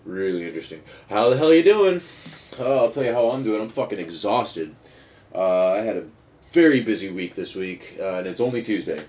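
A man's voice: fairly low pitch, expressive, fairly quick, darkish tone. A man hosting a casual, rambling solo podcast, talking in a digressive, sweary and self-mocking way. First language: not Dutch, speaks English fluently. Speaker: podcast host. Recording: dull lo-fi sound with faint noise.

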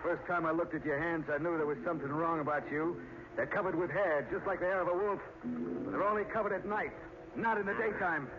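An actor in an old-time radio drama playing a stern small-town lawman who speaks in a blunt, deliberate, accusing way. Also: on the high side, music in the background, natural voice. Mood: grim, accusing. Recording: muffled, noisy old radio recording.

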